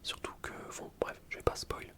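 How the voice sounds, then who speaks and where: A man's voice: moderate pace, pushed and bright. A man whispering casual, slangy ASMR commentary over gameplay, explaining things in a rambling way. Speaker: gaming ASMR video creator.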